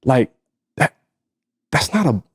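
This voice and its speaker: A man from Egypt whose first language is Arabic, co-hosting a podcast in a reflective casual chat and repeating points for emphasis. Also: slow pace. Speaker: podcast co-host.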